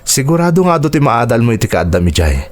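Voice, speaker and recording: A man with an Ilocano accent playing a kindly, upbeat doctor and devoted father in a radio drama, with a warm, encouraging manner. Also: medium pitch, big pitch swings, quick pace, natural voice. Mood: fatherly, affectionate.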